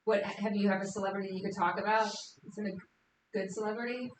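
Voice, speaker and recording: A woman's voice: mid-range voice, steady tone, fast, reverberant, a little hiss or hum, low-quality recording. A woman hosting an interview, asking questions and chiming in often with short agreements. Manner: frequent short affirmations, laughs easily. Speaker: podcast host. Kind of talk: casual interview chat.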